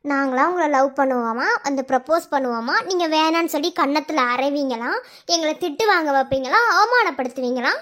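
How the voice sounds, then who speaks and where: A man's voice: very high voice, a little fast, bright, forceful tone. A man playing a spurned, vengeful young student in a melodrama, taunting and menacing with sneering questions. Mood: bitter, vengeful. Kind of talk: melodramatic audio drama villain acting.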